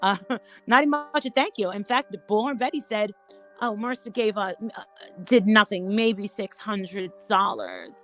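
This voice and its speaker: A woman chatting casually as a panel guest, rambling and haltingly repeating her words as she goes. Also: mid-range voice, fairly bright tone, soft background music. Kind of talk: casual gossip chat.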